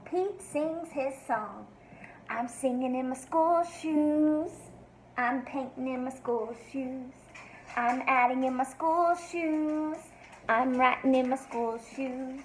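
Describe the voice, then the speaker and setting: A woman reading a picture book to young children like a teacher, expressive and sing-song, with encouraging questions. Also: sits high, a little hiss or hum, rough recording. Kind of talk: read-aloud for young children, asking questions.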